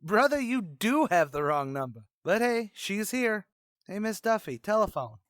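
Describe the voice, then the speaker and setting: Brash, know-it-all tavern boss played by a man for old-time radio comedy; swooping, raised pitch, colloquial bluster. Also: big pitch swings, normal speed, fairly bright tone, dry recording, clean studio sound. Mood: brisk, colloquial. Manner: pompous, malapropism-prone, colloquial. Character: brash, self-important tavern manager mangling big words.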